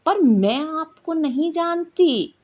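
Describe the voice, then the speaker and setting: A woman's voice: high-pitched, animated, takes it slowly, dull audio, voice pushed up. A woman voicing an innocent but firm young girl who studies magic in a storybook tale. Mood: earnest.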